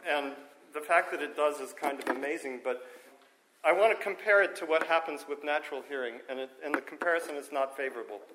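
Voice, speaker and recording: Conference lecturer, male, slightly high-pitched strong voice, informal and self-correcting, says "okay?" often. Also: fast, reverberant, background noise. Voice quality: strong speaking voice. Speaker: conference speaker. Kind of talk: technical lecture on hearing and audio. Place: lecture room with audience. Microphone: handheld or stand microphone.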